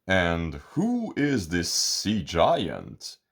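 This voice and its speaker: A man doing a calm little storybook pal. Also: deep voice, very slow, gravelly, exaggerated voice. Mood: puzzled. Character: small, steady male friend, game and practical.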